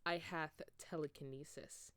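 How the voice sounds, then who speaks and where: A woman voicing a cruel, commanding male dark wizard in a storybook reading, with drawn-out archaic speech. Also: low-pitched, fairly even intonation, dry recording. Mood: cruel threat.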